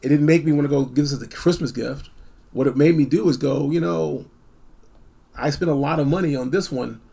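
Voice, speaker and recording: Man, casual reviewer, slightly high voice with a husky rasp, blunt and repetitive delivery. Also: fairly quick, dark tone. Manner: rambling, repeats phrases for emphasis. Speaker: product reviewer. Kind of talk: casual unscripted gadget review.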